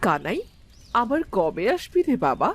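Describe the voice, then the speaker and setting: Doting village aunt, lively and swooping actress. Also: female voice, fairly low pitch, normal speed, husky. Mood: fond. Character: doting older village aunt, fond and modest. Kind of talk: animated cartoon story voice acting. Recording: music behind the voice.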